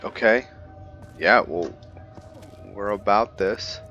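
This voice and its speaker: A man playing a roleplay game who reads in-game journals aloud haltingly and keeps correcting himself. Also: fairly low pitch, bright, forceful tone, muffled recording, soft background music. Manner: halting reading with frequent self-corrections. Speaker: gamer playing and narrating.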